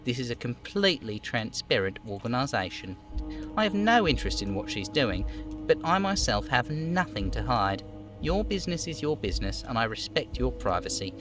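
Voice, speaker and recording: A man voicing an overweight union boss in a video game, falsely chummy, smooth and theatrically indignant. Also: mid-range voice, big pitch swings, moderate pace, music in the background, natural voice. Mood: falsely open. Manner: smooth, theatrical, over-familiar.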